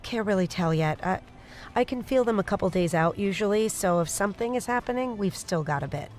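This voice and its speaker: A woman's voice: medium pitch, lively intonation, fairly quick, a little mellow, a little hiss or hum, close to an everyday voice. An actress playing a candid, wry woman in a secret romance, understated and direct, in an audio drama. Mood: matter-of-fact. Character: wry, candid woman, patient but wounded secret lover. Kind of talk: naturalistic audio drama acting.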